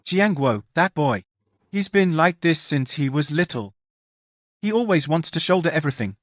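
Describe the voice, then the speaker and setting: Higher-pitched, conciliatory father played by an actor. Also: animated, moderate pace, no room sound, natural voice. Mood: pleading, gentle. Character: concerned father, conciliatory, pleading for family. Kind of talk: scripted dubbed audio drama.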